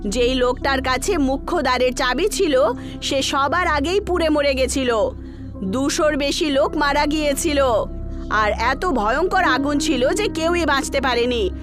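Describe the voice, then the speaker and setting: High-pitched female horror story narrator. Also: a little slow. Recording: background music under the voice.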